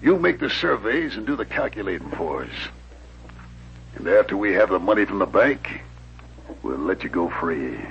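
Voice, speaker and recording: An actor playing a calculating, commanding outlaw boss in a 1950s radio western. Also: male voice, low voice, very animated, normal speed, creaky, heavily acted. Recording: dull, noisy old radio recording with hum.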